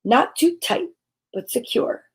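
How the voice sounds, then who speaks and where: A woman reading a children's storybook aloud expressively, with dramatic pauses and a husky voice. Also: sits high, lively intonation.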